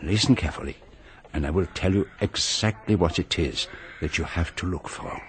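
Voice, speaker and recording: An actor playing a vain, precise celebrated detective in a radio drama, with a pompous, formal manner. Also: male voice, deep voice, normal speed, slight background noise, lightly acted. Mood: urgent, instructing.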